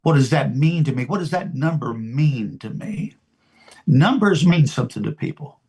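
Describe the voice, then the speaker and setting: A man in his seventies preaching an informal sermon as a pastor, deliberate and emphatic, pausing and repeating his phrases. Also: mid-range voice, expressive, darkish tone. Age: 70s.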